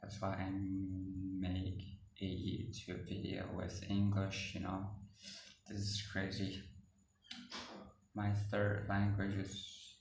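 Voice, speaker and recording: Hesitant man, low and flat. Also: slow pace, vocal fry, distant mic, a little hiss or hum. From Laos. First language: Hmong. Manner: hesitant, many fillers and restarts. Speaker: YouTuber. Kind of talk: casual vlog talk to viewers. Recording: echoey room, voice off-mic, faint ambience.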